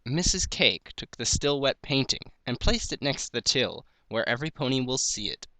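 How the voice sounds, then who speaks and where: A man's voice: mid-range voice, big pitch swings, moderate pace, pushed and bright, dry recording. A man narrating a piece of fan fiction, reading it aloud as a story.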